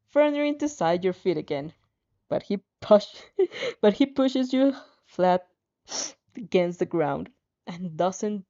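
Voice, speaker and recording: Woman, dramatic story narration, mellow timbre, lively intonation, informal, stumbling delivery, muffled lo-fi recording. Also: mid-range voice. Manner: expressive, stumbles over words and giggles at slips. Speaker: fan fiction reader and reactor. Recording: dull lo-fi sound.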